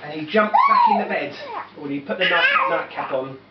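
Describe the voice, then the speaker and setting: A roomy, muffled home-video recording of a woman narrating a puppet show for children. Her storytelling is lively and homespun, with the odd stumble. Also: sits low, fairly quick, recorded from a distance. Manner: animated, stumbling over words. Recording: dull, noisy, echoey home-video from afar.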